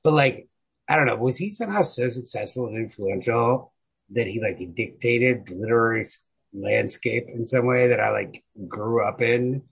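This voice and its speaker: Very raspy, creaky man chatting casually. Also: medium pitch, moderate pace, low-quality recording. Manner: informal, profane, rambling and opinionated. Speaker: podcast co-host. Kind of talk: casual literary chat.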